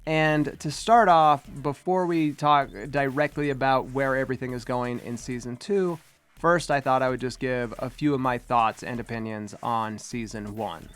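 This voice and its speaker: A man's voice: mid-range voice, steady tone, moderate pace, fairly bright tone, clean tone. A man hosting a casual video commentary, chatty and upbeat, his voice slightly congested as he recovers from a sinus infection. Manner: chatty, upbeat and rambling, with fillers. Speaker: host.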